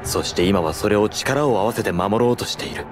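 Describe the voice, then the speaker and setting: A man's voice: lowish voice, brisk, music in the background, close to an everyday voice. A man playing a sincere young hero in a Japanese video game, with an earnest, firm, plain-spoken delivery.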